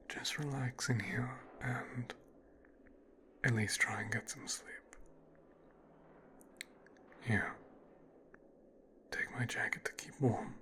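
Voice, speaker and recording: A man playing a possessive, smooth-talking son of a mafia boss in an intimate, hushed ASMR audio roleplay. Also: medium pitch. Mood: soothing, possessive. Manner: slow, hushed, half-whispered delivery with deliberate pauses.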